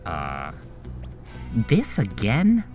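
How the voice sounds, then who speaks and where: A woman playing an exasperated, sarcastic adult technician in a video game fan dub, theatrical and expressive. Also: low-pitched, drawn-out words, soft background music, exaggerated voice. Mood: wry.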